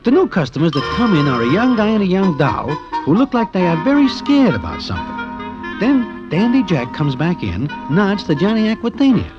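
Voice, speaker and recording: High-voiced, wry gangster sidekick. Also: male voice, sing-song, a little fast, over music. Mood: storytelling. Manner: formal present-tense gangster slang, wry. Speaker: narrator. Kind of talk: 1940s radio acting.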